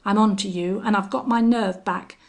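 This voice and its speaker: A woman voicing a cowardly, blustering young man in a western audiobook, excitable and prone to stammering. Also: medium pitch, measured pace, gravelly, breathy edge, exaggerated voice. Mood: defiant bluster. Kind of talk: audiobook character acting.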